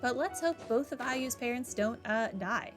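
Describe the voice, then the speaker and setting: Gamer girl chatting over her playthrough, kinda high voice, sarcastic, game music behind. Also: animated, moderate pace. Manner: dry, sarcastic. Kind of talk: casual game commentary.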